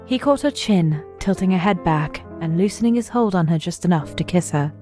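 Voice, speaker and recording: A woman's voice: lowish voice, expressive, fairly quick, dark tone, soft background music. A woman narrator reading scripted story narration for an audio drama.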